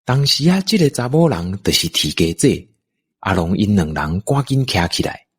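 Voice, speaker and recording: A man's voice: lowish voice, big pitch swings, normal speed, slightly rough. A man with a Taiwanese accent, narrating a scripted radio drama story.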